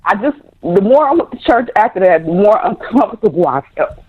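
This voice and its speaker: A woman's voice: mid-range voice, animated, a little fast, breathy edge. A woman calling in with a cold, sounding congested and speaking hesitantly. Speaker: caller. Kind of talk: phone call-in. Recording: dull phone line.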